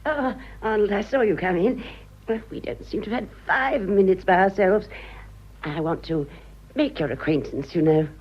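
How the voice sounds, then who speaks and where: A woman's voice: medium pitch, normal speed, husky, close to an everyday voice. An actress playing a vain, gushing, aging society mother with an upper-class English accent in a radio comedy. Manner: effusive, drawling, girlishly theatrical. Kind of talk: stage comedy radio acting. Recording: dull, muffled old radio drama.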